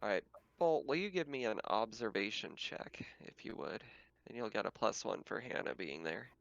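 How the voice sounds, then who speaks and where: A man acting as game master in a casual tabletop game, narrating haltingly over a slightly dull recording. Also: fairly low pitch, lively intonation, gravelly, a bit bright, no room sound.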